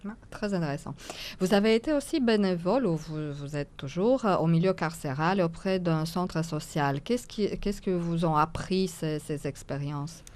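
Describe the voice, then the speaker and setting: A woman hosts a radio culture interview, asking her questions in a casual, chatty way. Her voice sits a little low for a woman. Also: moderate pace, darkish tone. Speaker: radio show host.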